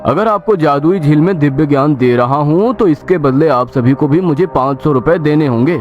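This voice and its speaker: A man's voice: fairly high pitch, moderate pace, highs cut off, lightly acted. A man playing a smug, cunning village merchant in a cartoon moral story, persuading as he explains. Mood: salesmanlike. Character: smug, cunning male village merchant selling false promises. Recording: loud music under the voice.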